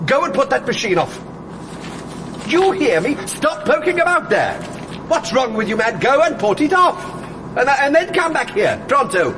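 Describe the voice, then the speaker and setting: A florid, self-important gravedigger boss in a radio play. His voice sits high and swings widely, with theatrical, long-winded delivery over clearly noisy surroundings. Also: very animated, moderate pace. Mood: exasperated. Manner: theatrical, wordy, pompous, given to chuckling. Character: florid, jovial, pompous gravedigger boss who runs exhumations. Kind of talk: radio drama acting.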